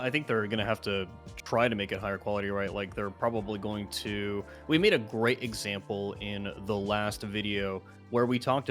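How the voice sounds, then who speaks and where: A man's voice: fairly low pitch, fairly bright tone. Explanatory male guest with music behind. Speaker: guest commentator. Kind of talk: casual gaming commentary.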